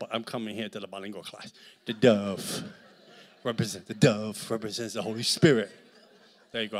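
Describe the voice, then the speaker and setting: A middle-aged man with a Puerto Rican accent preaching a sermon with a handheld mic in a large church hall, swinging between shouts and near-whispers. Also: fairly low pitch. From Puerto Rico. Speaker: guest preacher.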